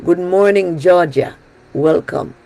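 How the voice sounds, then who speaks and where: A Jamaican woman pastor preaches with an unhurried, deliberate delivery. Her voice carries a gravelly edge, and she drives her points home by repeating key phrases with emphasis. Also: on the low side, warm tone. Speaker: pastor leading a prayer broadcast. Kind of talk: sermon.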